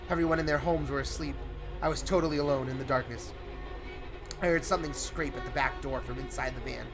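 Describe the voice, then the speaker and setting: A man reading a scripted first-person horror story in a suspenseful, theatrical way. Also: medium pitch. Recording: prominent music under the voice. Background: music.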